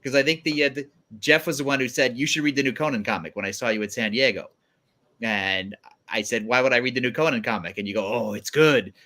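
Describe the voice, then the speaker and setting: A man's voice: medium pitch, quick pace, pushed and bright. A man guest-hosting a casual panel chat, talking conversationally and acting out little bits of dialogue. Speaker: guest host.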